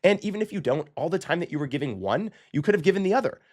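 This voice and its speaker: A man hosting a language-learning lesson, talking casually and explaining his method with frequent "okay?" check-ins and quick self-corrections. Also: on the high side, lively intonation, a little mellow. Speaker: language-learning channel host.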